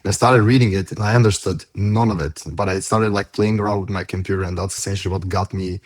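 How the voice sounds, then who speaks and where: A man's voice: sits low, fairly even intonation, fast, no room sound. A man telling his story casually as an interview guest, peppering his talk with "like". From Bulgaria. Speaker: podcast guest, tech company founder.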